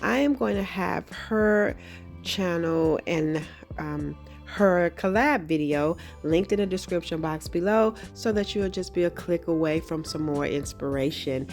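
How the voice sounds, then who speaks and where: A woman hosting a craft tutorial, slightly hoarse from a cold, talking in a friendly, chatty way with frequent ums. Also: fairly low pitch, unhurried, a little mellow, soft background music. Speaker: craft channel host. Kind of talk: casual craft tutorial narration.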